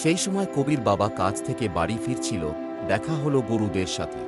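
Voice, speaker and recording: A man's voice: lowish voice, sing-song, brisk. A man narrating a scripted children's ghost story. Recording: loud music behind the voice.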